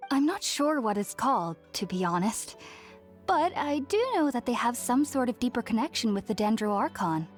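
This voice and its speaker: An actress plays a young woman scholar in a video game, with a fairly high voice. She sounds gentle and earnest, telling things in a measured, polite manner. Soft music sits faintly behind her. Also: animated, lightly acted. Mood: earnest, reassuring.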